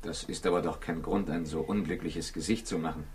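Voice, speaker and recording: An actor playing a calm, laconic male investigator in a 1960s radio crime drama, asking in a terse, pensive way. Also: extremely low pitch, brisk. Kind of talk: 1960s German-language radio drama acting. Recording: noisy old radio recording with clicks.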